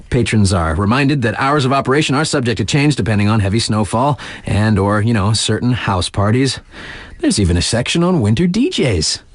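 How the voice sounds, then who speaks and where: A man's voice: mid-range voice, no echo, close to an everyday voice. A man with a North American accent playing a wry, laid-back young radio DJ at a snowboard resort in a video game, delivering scripted patter casually and tongue-in-cheek. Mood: wry, easygoing.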